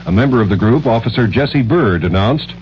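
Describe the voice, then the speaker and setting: Network news anchor: American male, medium pitch, scripted read, muffled 1970s radio with slight hum. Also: expressive, fairly quick.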